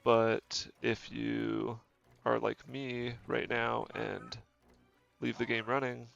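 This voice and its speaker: A man's voice: mid-range voice, completely flat delivery, slow pace, gravelly, a bit bright. A man, a game streamer with a stuffy nose from a cold, thinking aloud and correcting himself in easygoing, casual gameplay commentary. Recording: dull lo-fi stream audio. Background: faint game music.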